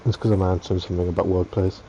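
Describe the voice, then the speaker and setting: A man's voice: deep voice, flat delivery, rapid-fire, mellow, highs cut off, a little hiss or hum. A young man chatting and teasing his co-host on a casual gaming stream, rambling and laughing often.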